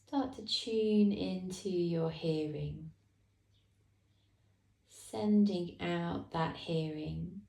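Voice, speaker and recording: Slow, soft-spoken woman guiding meditation. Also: on the low side, creaky, roomy sound. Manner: slow, soft, calm guidance with long pauses. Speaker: meditation teacher. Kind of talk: guided meditation.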